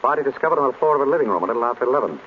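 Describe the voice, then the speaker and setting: Guy playing a sharp dry-witted detective in an old radio show, brisk questions, muffled hissy sound. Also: medium pitch, expressive, natural voice. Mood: brisk, wry. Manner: brisk, probing, wry. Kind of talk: 1930s radio acting. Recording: dull, noisy old radio recording.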